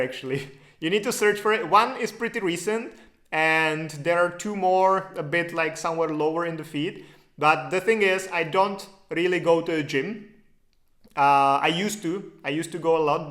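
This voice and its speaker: A man's voice: a little high, fairly bright tone. A man from the Czech Republic speaking English with a Czech accent, hosting a casual live question-and-answer stream in a loose, chatty way with lots of fillers. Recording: roomy echo.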